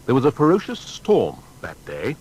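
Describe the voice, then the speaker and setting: A man narrating a scripted biography in a measured, formal and dramatic way. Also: huge pitch swings, a little mellow. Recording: faint background rumble and noise.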